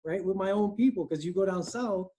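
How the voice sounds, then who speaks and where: A man's voice: sits high, a little fast, slightly rough. Earnest East Coast man on a video call. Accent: East Coast American. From Connecticut, US. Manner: earnest, animated, frequent "you know" and "right?". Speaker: guest, HR director. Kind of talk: conversational interview. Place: home room, indoors.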